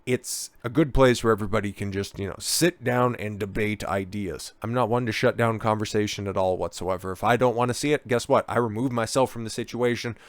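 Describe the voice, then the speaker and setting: Male vlogger, deep-ish, raspy and under the weather, casual rambling delivery, dry close sound. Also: fairly even intonation, fairly quick, hardly any pauses, no echo. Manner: rambling, tags sentences with "okay?". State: a bit hoarse from being under the weather. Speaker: channel host. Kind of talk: casual talking-head monologue.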